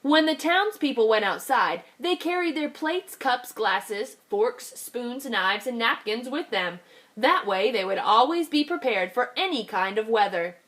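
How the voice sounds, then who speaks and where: Teacher, female, bright higher voice, very animated storybook read-aloud for little kids. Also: a little slow. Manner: lively, expressive reading to young children. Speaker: kindergarten teacher.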